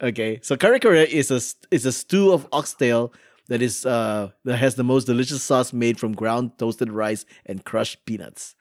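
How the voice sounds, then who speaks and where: A man's voice: medium pitch, normal speed, dry recording. Podcast guy from the philippines, chatty, laughs a lot, stumbles on words, dry mic. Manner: laughs often, trips over Filipino words. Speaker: podcast co-host. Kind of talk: casual chat, reading food descriptions.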